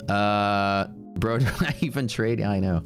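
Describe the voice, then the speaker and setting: Brash deep-voiced Texan streamer. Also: male voice, a little slow, soft background music. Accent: Texas. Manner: casual, brash, talks to chat. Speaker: day trading streamer. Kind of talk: live stream commentary.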